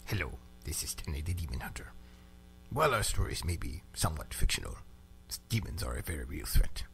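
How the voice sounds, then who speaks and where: A man's voice: extremely low pitch, big pitch swings, a little fast, creaky, a bit bright, slight background noise, full character voice. A man playing a businesslike demon hunter in a parody radio commercial, with a formal announcer's delivery. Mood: solemn. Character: solemn, businesslike demon hunter advertising his services.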